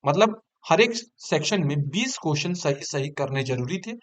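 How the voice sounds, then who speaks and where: A man with a fairly high voice talks as an online presenter. He explains things informally, like a tutor addressing students, and repeats key points and numbers to drive them home. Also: lively intonation, normal speed. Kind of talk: informal explanatory talk to exam candidates.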